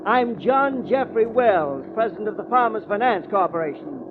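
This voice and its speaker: High-pitched pompous businessman. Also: animated, moderate pace. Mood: pompous, chatty. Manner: pompous, formal, fussy. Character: self-important, chatty male finance company president. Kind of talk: 1940s radio acting. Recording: noisy, tinny 1940s radio recording.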